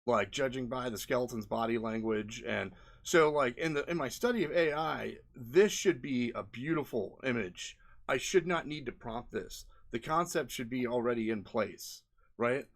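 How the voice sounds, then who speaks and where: A man's voice: medium pitch, animated, moderate pace. A man giving casual, unscripted commentary as an indie developer presenting a video, rambling and often tagging on "like" and "right?", with an exasperated edge. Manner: rambling, frequent "like" and "right?" tags.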